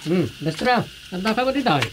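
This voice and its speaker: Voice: medium pitch, lively intonation, moderate pace, close to an everyday voice. An actor with an Ilocano accent playing a concerned, gossipy village acquaintance in a radio drama. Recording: faint background noise.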